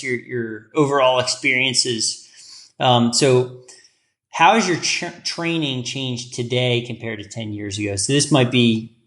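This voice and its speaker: A man hosting a podcast, asking casual interview questions with upbeat, quick affirmations. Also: mid-range voice, pushed and bright. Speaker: podcast host.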